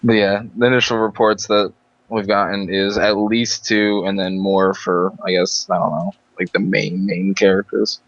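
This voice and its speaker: A man's voice: on the low side, flat delivery, moderate pace, no echo, muffled recording. A man co-hosting a stream, chatting in a matter-of-fact way about a fighting game. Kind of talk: casual game chat.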